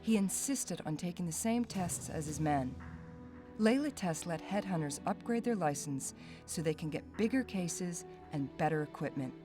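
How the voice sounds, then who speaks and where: An actress playing a poised, vengeful young heiress in a video game, cool and dry in delivery. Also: female voice, fairly low pitch, expressive, moderate pace, music in the background, natural voice. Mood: matter-of-fact, reminiscing. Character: poised, sardonic, vengeful young heiress, murdered man's daughter. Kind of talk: video game cutscene acting.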